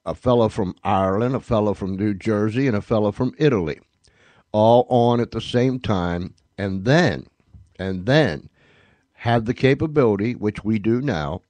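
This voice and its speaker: A man speaking as a podcast host, making an unscripted announcement with emphatic repetition and deliberate pauses. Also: on the low side, a little mellow, no room sound.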